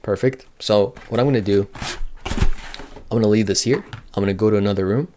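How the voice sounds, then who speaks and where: A man's voice: lowish voice, moderate pace, darkish tone, slight background noise. A man giving a casual, unscripted product demonstration, thinking aloud in a matter-of-fact way as a reviewer.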